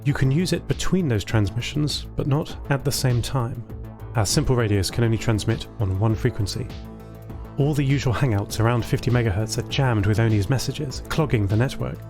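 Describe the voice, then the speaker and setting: A male narrator tells a scripted first-person story in a middle-pitched voice. He plays a thoughtful artificial intelligence who cares about others. Music runs underneath. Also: moderate pace. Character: thoughtful, caring artificial intelligence built for communication. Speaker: narrator of an audio fiction podcast. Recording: voice over a music bed.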